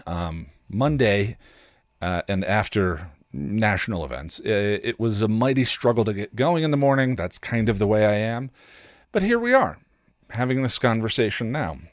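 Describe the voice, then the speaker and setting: A man's voice: on the low side, animated, normal speed, no echo, studio quality. A man hosting a casual solo podcast in a rambling, self-deprecating way, often acting out his own inner dialogue. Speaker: podcast host.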